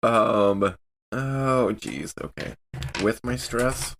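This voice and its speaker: A man's voice: mid-range voice, extremely slow, vocal fry, a bit bright, a little hiss or hum. A man playing a tabletop role-playing game, chatting casually as he describes his moves and reads out rolls.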